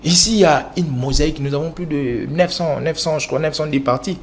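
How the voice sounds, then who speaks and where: A man's voice: a little high, brisk, slight background noise. A man from the Congo speaking as a political analyst in an interview, lecturing with many fillers and restarts. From Congo (DRC). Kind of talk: interview answers.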